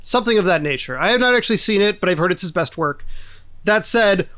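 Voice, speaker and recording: A man talking casually about films as a podcast panelist, phrasing his thoughts in a halting, measured way. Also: sits high, fairly bright tone. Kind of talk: casual film discussion.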